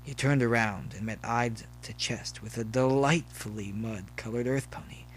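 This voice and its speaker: A man's voice: mid-range voice, moderate pace, rough recording. A man reading scripted story narration as the narrator. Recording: faint noise behind the voice.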